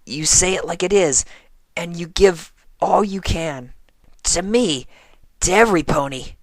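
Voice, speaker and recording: A performer voicing a brash but flustered young female pegasus pony from a cartoon fan story, stammering and earnest, with a voice that cracks and turns breathy. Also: mid-range voice, measured pace, dry recording, voice pushed up. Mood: nervous, earnest.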